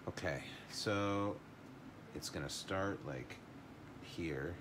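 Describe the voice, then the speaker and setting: Very deep, slow-talking man painting. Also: noisy background. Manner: unhurried, thinking aloud while painting. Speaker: art teacher. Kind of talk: live watercolor painting lesson.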